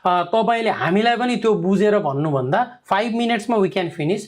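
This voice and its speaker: Guy talking super fast, advisor answering comments, lots of uh and you know. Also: high-pitched. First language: Nepali. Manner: code-switching, heavy fillers, frequent checks like "okay?". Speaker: migration advisor. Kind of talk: live Q&A answering viewer comments.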